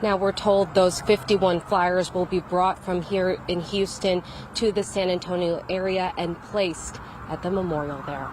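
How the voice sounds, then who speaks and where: A woman TV news reporter giving a solemn, measured field report. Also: fairly low pitch, steady tone, background noise.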